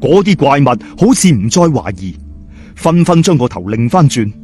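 A man's voice: on the high side, sing-song, moderate pace, darkish tone, faint music behind. A man with a Cantonese accent narrating a scripted horror story in a dramatic, suspenseful storyteller's manner. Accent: Hong Kong or Guangdong Cantonese.

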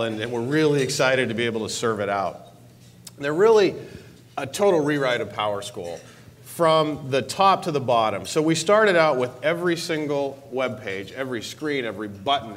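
A man in his forties on a conference stage, giving an enthusiastic keynote speech about a product release. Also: fairly bright tone. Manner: upbeat, enthusiastic pitch with stressed repeated words. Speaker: keynote speaker, technology executive. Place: large conference hall stage. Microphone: lapel mic.

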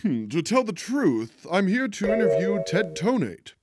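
A man's voice: high-pitched, very animated, unhurried. A man voicing an exuberant, self-important police detective in a theatrical, hearty way for a game fan dub.

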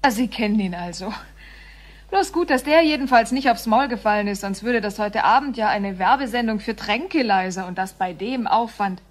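A woman's voice: mid-range voice, moderate pace, close to an everyday voice. An actress playing a breezy, curious, chatty woman in a radio play, talking colloquially and eagerly. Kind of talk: radio drama acting.

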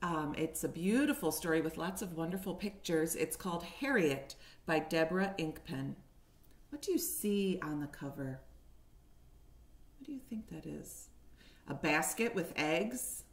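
Slow-paced woman reading to young children. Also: low-pitched. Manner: expressive storytelling with questions to young listeners. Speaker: kindergarten teacher. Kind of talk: picture-book read-aloud for young children. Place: living room at home, indoors. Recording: roomy echo on a home webcam.

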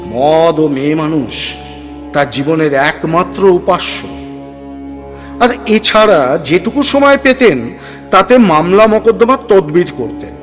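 A man with a high-pitched voice narrates a dramatic story in a measured, literary way. His voice carries a long reverb, and music plays underneath. The delivery is theatrical and scripted.

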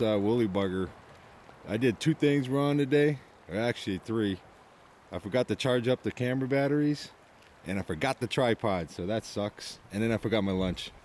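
Man vlogging while fly fishing, windy. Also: on the low side. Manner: relaxed, self-deprecating, talking while fishing. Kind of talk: casual outdoor vlog commentary. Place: outdoors, wading in a river in early spring. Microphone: handheld camcorder mic. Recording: wind noise on a camcorder mic outdoors. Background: wind and rushing water.

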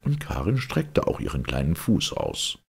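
A man narrating a fairy tale as an expressive audiobook reader, with a gravelly, very husky voice. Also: extremely low pitch, unhurried.

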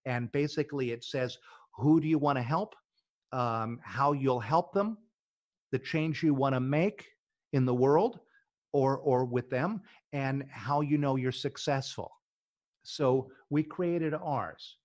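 A man's voice: mid-range voice, slow, a little mellow, dry recording. A middle-aged man, a business founder, talking eagerly as a podcast guest and often restarting his words. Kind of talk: casual interview talk.

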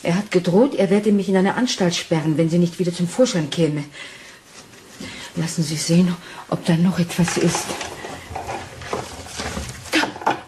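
An actress playing an anxious, trusting Victorian wife in a German radio crime drama, earnest and refined. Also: female voice, fairly low pitch, fairly even intonation, measured pace, pauses often, noisy background, close to an everyday voice.

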